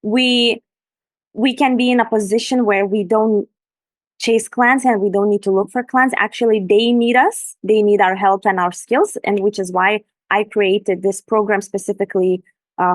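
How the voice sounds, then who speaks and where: A woman's voice: medium pitch, steady tone, normal speed, dry recording. Enthusiastic woman presenting. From Lithuania. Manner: enthusiastic, earnest. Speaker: Instagram business coach, guest. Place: room in Bali at night. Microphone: video call.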